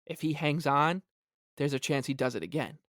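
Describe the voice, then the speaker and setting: A man co-hosting a sports podcast, chatting casually in a halting, gleeful, excitable way. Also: a little high, no echo, studio quality. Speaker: podcast co-host. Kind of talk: casual hockey fan chat.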